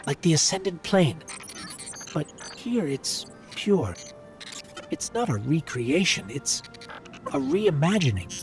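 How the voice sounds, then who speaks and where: An actor playing a thoughtful, earnest robot companion in a video game, reflective and articulate. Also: male voice, mid-range voice.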